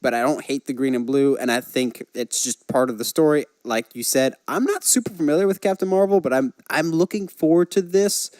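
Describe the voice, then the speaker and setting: A man's voice: fairly high pitch, brisk, few pauses, a little mellow, no room sound. Casual male podcast host, close dry sound.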